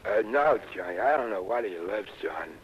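An actor with an American accent playing a halting, eager, streetwise informant with a husky voice in a 1960s radio drama. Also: male voice, on the low side, expressive, a little hiss or hum, close to an everyday voice. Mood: confiding. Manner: hesitant, rambling, colloquial streetwise speech. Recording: muffled old radio broadcast recording.

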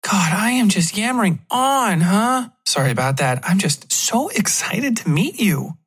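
A man voicing a bubbly, excitable female literary agent who rambles and gushes chattily, in an audiobook-style story. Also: high voice, unhurried, husky, voice pushed up, heavily acted. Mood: gushing.

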